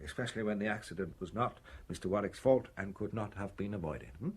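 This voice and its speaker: An actor playing a courteous, probing English police inspector in a radio drama, measured and politely hesitant. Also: male voice, lowish voice, slightly rough. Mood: measured, insistent.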